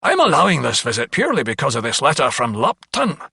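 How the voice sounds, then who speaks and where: A man's voice: medium pitch, wildly swooping pitch, fast talker, creaky, bright, forceful tone, breathy edge, dry recording, exaggerated voice. A man voicing an elderly physician in an audiobook, arrogant, dismissive and condescending. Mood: arrogant, curt. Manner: condescending, blustering. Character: elderly Scottish male physician, arrogant, dismissive asylum principal.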